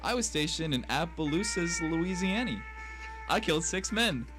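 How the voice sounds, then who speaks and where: A man's voice: fairly high pitch, animated, moderate pace, lightly acted. A man playing brash, folksy American tough guys in a noir radio drama, talking theatrically in old-timey slang. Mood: cocky, chatty. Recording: music bed behind voice.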